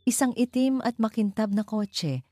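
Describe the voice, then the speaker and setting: A woman's voice: a little high, lively intonation, moderate pace, dark tone, no echo, studio quality. A woman reading a scripted story in dramatic narration. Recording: music behind the voice. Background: background music.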